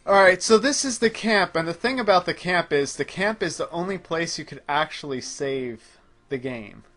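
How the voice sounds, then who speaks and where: Higher-voiced man explaining a game. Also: expressive, normal speed, fairly bright tone. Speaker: host playing the game. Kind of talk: casual gameplay commentary chat.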